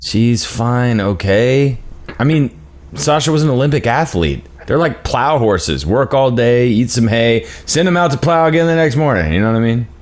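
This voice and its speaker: An actor reading a stammering, self-centered husband who complains about babysitting in a table read. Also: male voice, medium pitch, animated, normal speed, lightly acted. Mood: defensive. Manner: stammering, defensive, sarcastic.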